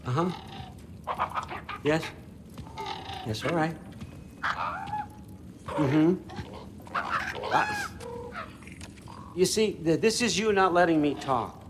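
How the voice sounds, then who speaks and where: A man's voice: a little high, lively intonation, very slow, pauses often, noisy background. An older man playing a scheming, folksy, testy Allfather god in a video game, with casual, domineering patter. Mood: irritated. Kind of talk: video game cutscene acting. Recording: long hall reverb under clear music.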